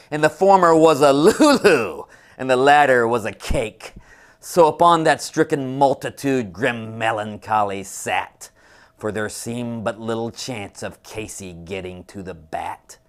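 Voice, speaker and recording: A man recites a poem with great theatrical flair. His slow delivery leans on drawn-out, deliberate emphasis, and his medium-pitched voice moves between hushed lows and loud, high peaks. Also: lively intonation. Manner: theatrical, swings from hushed whispers to high shouts. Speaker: sports columnist reciting a poem. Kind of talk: dramatic poetry reading.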